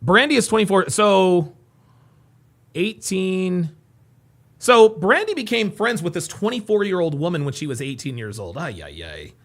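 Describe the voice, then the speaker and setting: A man giving casual live reaction commentary as a host, mockingly repeating what others say in an animated way. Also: high voice, unhurried.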